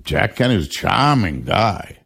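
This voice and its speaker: An older man with a Boston accent telling an old story in an animated, anecdotal way from an archive interview. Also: lowish voice, measured pace, creaky, breathy edge.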